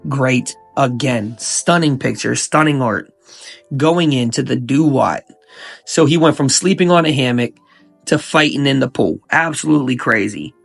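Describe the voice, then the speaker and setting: Young guy w a cold, kinda raspy n fry-ish, super hyped casual host, soft music under it. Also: medium pitch. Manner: enthusiastic, repeats hype words. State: sick, coughing and sniffling. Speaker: YouTube host.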